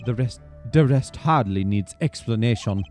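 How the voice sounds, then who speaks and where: A man voicing a suave young male rock-star prosecutor with a smooth, theatrical delivery. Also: mid-range voice, expressive, normal speed, a little mellow, soft background music, pitched below the performer's own voice, natural voice. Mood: smug.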